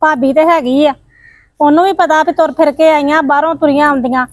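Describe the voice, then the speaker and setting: A woman acting out a hot-tempered sister-in-law, blunt and bossy, scolding in a high voice. The sound is dry and close, with no room echo. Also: fairly even intonation, a little fast, close to an everyday voice. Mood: complaining. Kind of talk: village family drama acting.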